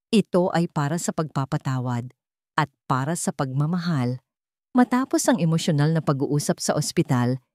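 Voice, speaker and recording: Low-pitched woman narrating with very lively intonation. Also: no room sound, clean studio sound. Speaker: story narrator. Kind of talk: dramatic scripted story narration.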